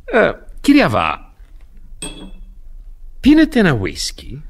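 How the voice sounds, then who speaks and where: A man's voice: sits high, wildly swooping pitch, full character voice. An actor in a radio play as a desperate, paranoid husband, agitated and emphatic in his pleading. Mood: wary. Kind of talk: radio theatre acting.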